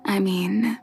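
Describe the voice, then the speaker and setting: A woman playing an ancient, self-sacrificing goddess in a video game cutscene, measured and gently teasing, soft and often close to a whisper. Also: medium pitch, creaky, slight background noise, heavily acted. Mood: wryly amused.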